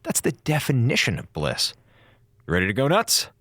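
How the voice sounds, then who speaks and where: A man's voice: mid-range voice, wildly swooping pitch, moderate pace, a bit bright, dry recording, studio quality. A man playing a sardonic, melodramatic communications officer on a space station in an audio drama, with a theatrical, mock-solemn delivery. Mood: dismissive, sarcastic. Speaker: communications officer recording a log. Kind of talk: audio drama acting, log narration.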